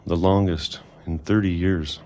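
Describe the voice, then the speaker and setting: A man playing a weary middle-aged recluse, narrating a video game monologue in a slow, measured, introspective way. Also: low-pitched, fairly even intonation, noisy background. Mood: grim resolve. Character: weary reclusive man who hid underground for decades.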